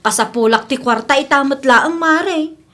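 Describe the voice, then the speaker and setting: High-voiced, eager young woman pleading. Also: normal speed, fairly bright tone. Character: eager young woman, daughter planning to work abroad. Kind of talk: Ilocano radio drama acting. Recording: music behind the voice.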